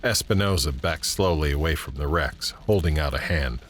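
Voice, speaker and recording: A man narrating a scripted audio drama in a measured, descriptive way. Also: extremely low pitch. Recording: background ambience and noise.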